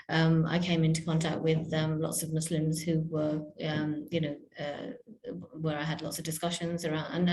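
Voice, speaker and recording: A woman's voice: low-pitched, a little mellow. A woman speaking as a podcast guest, reflective and hesitant, with many fillers and restarts. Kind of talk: reflective interview talk. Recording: echoey room.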